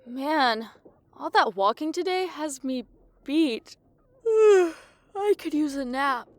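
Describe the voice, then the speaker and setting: A woman's voice: high-pitched, animated, takes it slowly. A woman voicing a young female adventurer in a fantasy audio drama, with a casual, blunt, sarcastic manner. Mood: playful, tired.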